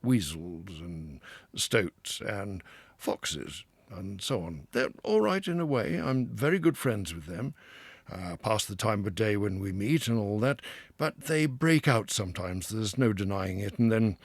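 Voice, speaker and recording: A man voicing a hearty, self-assured male water rat who talks loudly and with relish. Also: lowish voice, unhurried, breathy edge, no room sound, voice pushed up, heavily acted. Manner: loud, confident, lively, with chuckles.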